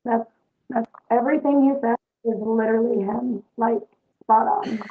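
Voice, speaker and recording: A woman's voice: a little high, fairly even intonation, moderate pace. A woman guesting on a podcast, speaking frankly and emphatically in casual chat. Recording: dull lo-fi sound with faint noise.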